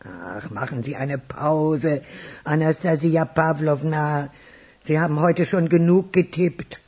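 A woman in a German radio crime drama playing a friendly, coaxing old lady neighbour with a husky voice. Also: deep voice, slow pace, a little mellow, heavily acted.

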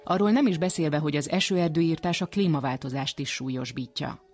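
A man presents a scripted radio documentary. His voice sits a little high for a man, and music plays behind him as he narrates.

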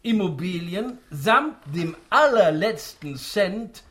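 Pompous old tycoon with swooping pitch. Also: male voice, lively intonation, takes it slowly, sharp tone, exaggerated voice. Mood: sly, gleeful. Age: older. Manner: theatrical, pompous, savouring each rhyme. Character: smug, spry old tycoon patriarch who faked death. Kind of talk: rhymed radio play monologue.

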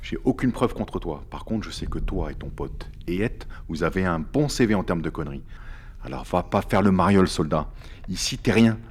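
An actor playing a stern, threatening army lieutenant in an audio drama, curt and intimidating. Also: male voice, low voice, animated, moderate pace, husky.

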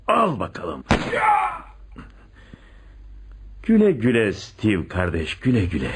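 An actor playing a lovestruck, obsessive police inspector turned killer in a theatrical radio drama. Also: male voice, on the low side, heavily acted. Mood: gloating, impassioned. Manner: theatrical, swinging from muttering to agitated cries. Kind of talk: Turkish radio theatre acting. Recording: noisy radio drama recording with clicks.

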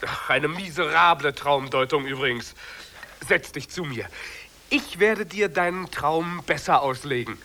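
An actor playing a cheerful, confident young stranger in a children's radio play, jaunty and knowing. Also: male voice, fairly high pitch, unhurried, pushed and bright. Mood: teasing, friendly.

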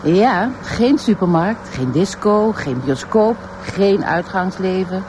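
A woman's voice: medium pitch, animated, slow, noisy background. An actress playing a young, slightly flustered and eager policewoman in a radio drama, talking informally and hesitantly. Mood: chatty, matter-of-fact.

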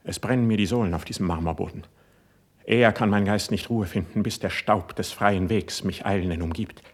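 An actor playing an impassioned, suspicious young court poet in a classical verse radio drama, with a husky, declamatory delivery. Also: male voice, lowish voice, a little fast. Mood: urgent, impatient. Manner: declamatory, intense stage delivery.

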